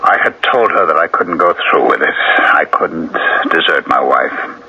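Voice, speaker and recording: A man's voice: very deep voice, a little slow, sharp tone, slight background noise, full character voice. An actor playing a troubled, guilt-ridden married man in a 1970s radio drama, speaking hesitantly and earnestly. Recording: muffled vintage radio recording.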